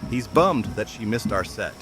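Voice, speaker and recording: A man's voice: fairly low pitch, lively intonation, moderate pace, music in the background, natural voice. A man acting an enthusiastic, theatrical amateur musician in an audio drama. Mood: easygoing.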